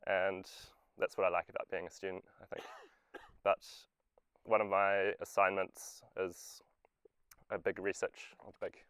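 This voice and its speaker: A man's voice: sits low, level tone, a little slow, fairly bright tone, smooth, dry recording. A young adult man, a student, giving an informal short talk about himself. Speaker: student speaker at a community event.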